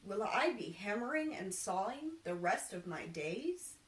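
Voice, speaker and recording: Woman playing an eager young carpenter lad, loud and echoey. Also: medium pitch, measured pace. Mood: gloomy. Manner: excitable, exclaiming. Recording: roomy echo, distant microphone.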